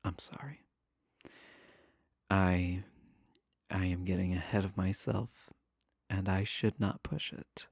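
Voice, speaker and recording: Man acting shy, stammering villain, low creaky voice. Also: steady tone, no room sound, studio quality, heavily acted. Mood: apologetic, nervous. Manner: nervous stammering, halting, earnest. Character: shy, adorkable imprisoned male villain, smitten with pen pal. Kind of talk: intimate romance audio roleplay.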